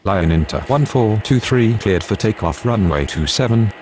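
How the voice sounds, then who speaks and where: A low male voice , acted for an air traffic game, calm and procedural. He delivers clipped aviation radio phrases over a noticeable bed of ambient noise. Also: normal speed, close to an everyday voice.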